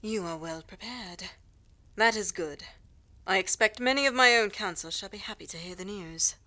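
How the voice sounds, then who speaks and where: A woman's voice: mid-range voice, moderate pace, sharp tone, natural voice. A woman playing a poised reigning queen in a fantasy audio roleplay, firm, formal and imperious. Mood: coolly pleased.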